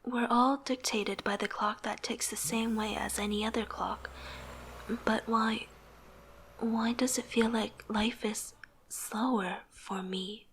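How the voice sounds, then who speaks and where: An earnest young woman acting as a struggling artist narrates her own story in a motion comic. Her voice sits fairly high and is soft and breathy. She delivers scripted lines in an introspective, personal way. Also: fairly even intonation, slow pace, exaggerated voice. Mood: reflective, weary. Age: young adult. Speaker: narrating lead character.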